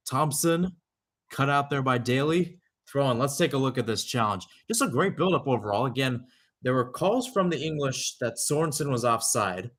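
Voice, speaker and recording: A man's voice: mid-range voice, fairly bright tone. A young man giving live radio-style soccer commentary, mixing clipped calls of the play with conversational asides. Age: young adult.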